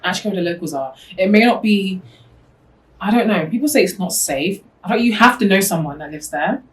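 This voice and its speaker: A young woman from co-hosting a podcast in a relaxed chat, often asking "do you know what I mean". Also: mid-range voice, a little fast, recorded from a distance, low-quality recording. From Britain. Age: young adult. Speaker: podcast co-host. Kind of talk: casual chat.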